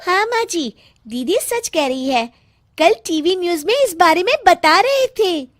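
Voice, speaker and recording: A woman plays a conniving young daughter-in-law in a family story. The voice is extremely high and girlish, set close and dry. She delivers her lines with sweet insolence. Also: very animated, unhurried, a bit bright, no room sound, exaggerated voice. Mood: eager.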